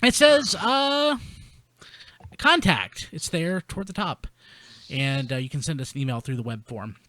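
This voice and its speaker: A man's voice: a little high, expressive, measured pace, pushed and bright, dry recording. Casual male podcast host, dry close sound. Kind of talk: casual panel chat.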